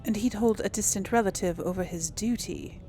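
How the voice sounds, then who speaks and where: A woman's voice: medium pitch, moderate pace, dark tone, soft background music. A woman doing casual, musing game commentary, thinking aloud as she plays and role-plays. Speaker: gamer host of a role-play let's play.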